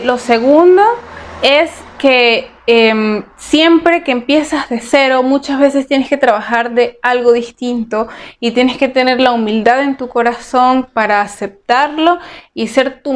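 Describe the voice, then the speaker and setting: A woman from Venezuela answers interview questions in a fairly high voice. She talks earnestly and practically, with frequent hesitations on "eh". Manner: earnest, advice-giving, frequent "eh" fillers. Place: Portugal.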